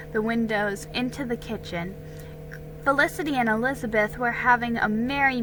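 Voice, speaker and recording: A woman's voice: a little high, rough recording. A woman giving a dramatic narration of a storybook as its reader.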